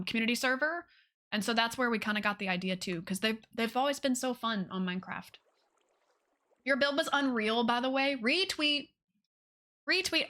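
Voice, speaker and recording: Woman streamer chatting casually about a game, high bright voice, super enthusiastic, says y'all a lot. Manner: gushing, enthusiastic; informal, says y'all and repeats phrases. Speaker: game livestream host. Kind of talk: casual livestream chat and game tour.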